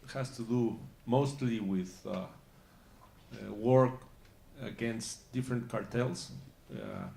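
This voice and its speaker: Gravelly-voiced Mexican panelist, hesitant delivery, echoey conference room. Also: male voice, medium pitch, sing-song, darkish tone. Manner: halting, many uh fillers and restarts. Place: conference hall. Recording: roomy conference hall.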